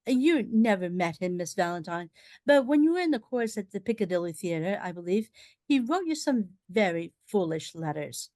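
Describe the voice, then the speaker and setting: A woman playing a shifty gentleman fixer with formal, ingratiating politeness in a radio play. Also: medium pitch, sing-song, normal speed, no room sound, natural voice. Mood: smooth, formal.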